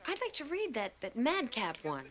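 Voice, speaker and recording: An actress playing a warm, admiring small-town woman in a 1930s television period drama, gushing and encouraging. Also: on the high side, huge pitch swings, moderate pace. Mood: admiring, grateful.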